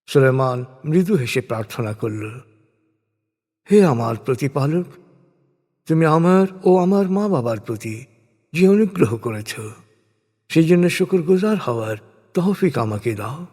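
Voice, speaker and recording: A man reading translated scripture as a solemn scripted narration, with measured, deliberate phrasing in short segments. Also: fairly high pitch, animated, dark tone, breathy edge. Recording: long hall-like reverb.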